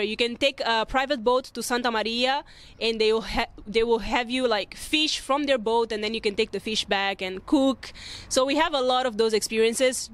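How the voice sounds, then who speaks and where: A woman's voice: a little high, a bit bright. A young woman from Mozambique chatting casually as a vlog host, answering viewers' questions. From Maputo, Mozambique. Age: young adult. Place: open city rooftop, outdoors. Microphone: handheld earphone mic near mouth.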